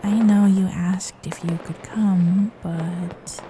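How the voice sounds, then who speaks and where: A woman voicing a sweet, playful fox-spirit girlfriend in an ASMR roleplay, coy and affectionate, with soft hesitations. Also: fairly low pitch, steady tone, takes it slowly, slight background noise. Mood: tender, intimate. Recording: festival crowd and fireworks sound effects.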